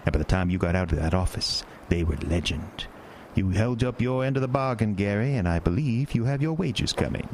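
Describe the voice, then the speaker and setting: An actor playing a sinister, smooth-talking male carnival showman in a horror audio drama, with a deep, coaxing, theatrical delivery. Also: animated, a little fast, a little hiss or hum. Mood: sly. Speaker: carnival owner.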